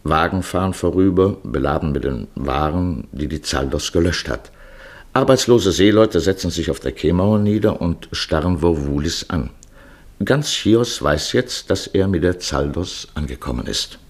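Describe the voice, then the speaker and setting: A man narrates a literary radio play in a very low voice. The tone is husky, and he sets out each phrase in a measured, declarative way. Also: lively intonation.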